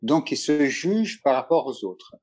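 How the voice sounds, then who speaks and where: Middle-aged man, mid-pitched, casual and halting, a guest photographer in a chat interview. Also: expressive, a little mellow. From Italy. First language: Italian. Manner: hesitant, many restarts and fillers.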